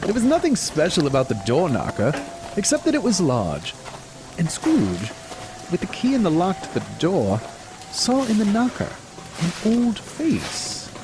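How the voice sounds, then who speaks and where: Highly expressive male storyteller. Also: measured pace, over music. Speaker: narrator. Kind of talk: dramatic scripted storytelling narration.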